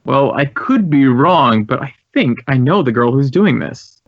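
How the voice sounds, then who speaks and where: An American actor playing an earnest, courteous and talkative director of a drama school in an old radio drama. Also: male voice, mid-range voice, expressive, unhurried, no room sound. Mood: puzzled, chatty. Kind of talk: 1950s radio acting. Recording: dull, dry old radio recording.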